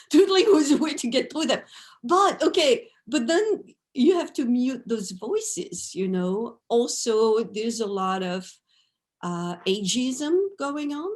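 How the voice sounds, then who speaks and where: A woman's voice: high voice, expressive, unhurried. A woman, a guest artist talking reflectively about her life, often adding "right?" and "you know". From France. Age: 50s. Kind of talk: reflective interview talk.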